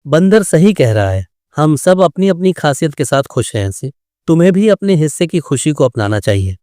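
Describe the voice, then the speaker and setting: A man playing a caring, sensible forest animal in a children's cartoon, giving gentle advice to a friend. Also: a little high, sing-song, normal speed, no room sound, clean studio sound. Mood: advising. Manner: gentle, advising. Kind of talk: Hindi children's cartoon dialogue.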